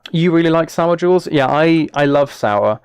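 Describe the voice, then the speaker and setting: A man's voice: a little high, animated, normal speed, clean tone, no echo, clean studio sound. A man chatting casually on a live game stream, thinking aloud as he plays and replying to viewers.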